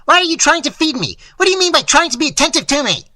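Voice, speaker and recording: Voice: medium pitch, huge pitch swings, normal speed, sharp tone, breathy edge, dry recording, heavily acted. A narrator voicing an irascible older male painter who rants and craves quarrels, with an explosive, barking delivery, in a story reading. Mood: furious, shouting.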